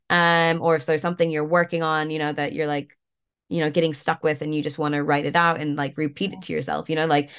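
A woman guest, a yoga and mindfulness coach, talking in a relaxed way with lots of fillers while stuffed up and a bit croaky. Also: deep voice. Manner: relaxed, many fillers like "like" and "you know". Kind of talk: casual interview talk, guiding an exercise.

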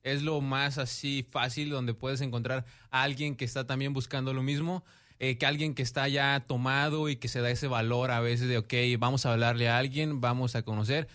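Man, late 20s, mid voice, halting casual guest. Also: mid-range voice, steady tone, fairly bright tone. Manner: hesitant, laughs a lot. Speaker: podcast guest. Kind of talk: casual chat.

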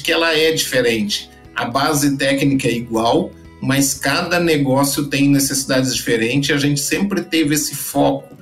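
Higher-voiced man explaining steadily. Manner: explanatory, restarts and fillers. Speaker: guest, data company founder. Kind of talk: podcast interview answers.

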